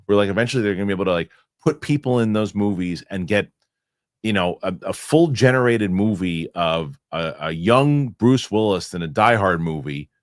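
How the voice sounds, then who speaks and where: A man with a lowish voice that swings up and down a lot hosts a casual podcast. He talks in a rambling, animated way and keeps stopping to restart his sentences. The sound is dry and close. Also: moderate pace, no echo. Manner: animated, rambling, self-correcting with repeated starts. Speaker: show host.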